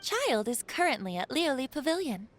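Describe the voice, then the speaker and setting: A woman's voice: sits high, sing-song, over music. A woman playing a poised, formal, cryptic clerk of a shadowy organisation in a game. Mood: formal, knowing. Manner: formal, measured, polite. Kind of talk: scripted game dialogue.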